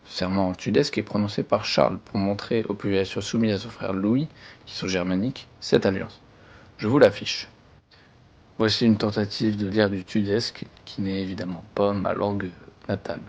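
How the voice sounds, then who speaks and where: A man's voice: lowish voice, fairly even intonation, normal speed, vocal fry, a little mellow, husky, dull audio. A man giving a scripted, formal educational narration as a history presenter. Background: background music.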